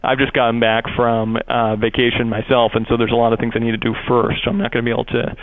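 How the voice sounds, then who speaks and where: A man in the role of a prosecutor explains legal procedure over the phone. His delivery is flat and even, wordy and halting, at a middling pitch. The line is narrow and muffled. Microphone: telephone call.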